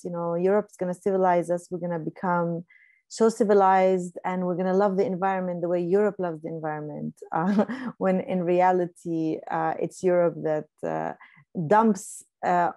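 A woman whose native tongue is Arabic speaks on a panel over a video call, her voice sitting low. She is reflective and unhurried, often pausing with fillers, and the sound is dry and close with no room echo. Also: darkish tone. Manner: reflective, pauses with many fillers.

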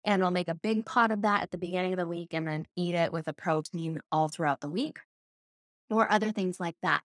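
A woman in her thirties hosting a casual podcast from a home office, talking in a chatty, expressive way. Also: on the low side, brisk, dry recording, studio quality. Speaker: podcast host. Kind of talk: casual solo podcast advice.

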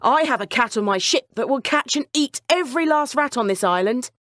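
A woman's voice: sits high, expressive, fairly quick, a bit bright, dry recording, clean studio sound, voice pushed up. A woman voicing a helpful, practical merchant in a children's bedtime story, polite and matter-of-fact.

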